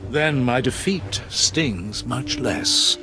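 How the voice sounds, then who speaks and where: An actor voicing a solemn, honor-bound Sith warrior lord in a video game, speaking formally and with measured gravity. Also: male voice, medium pitch, sing-song, over music. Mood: solemn, respectful. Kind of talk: dramatic video game acting.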